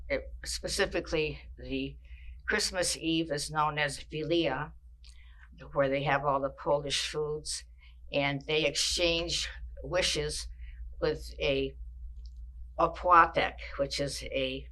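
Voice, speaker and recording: An older woman from Massachusetts, recalling her childhood in an oral history interview, with a measured pace and frequent pauses. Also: sits low, fairly even intonation, pushed and bright.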